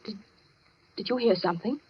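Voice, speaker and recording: A woman acting a solicitous, nervy hospital nurse in an old radio suspense play, speaking gently and deferentially. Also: medium pitch, a little fast, natural voice. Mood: uneasy. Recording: muffled, hissy old radio recording with music.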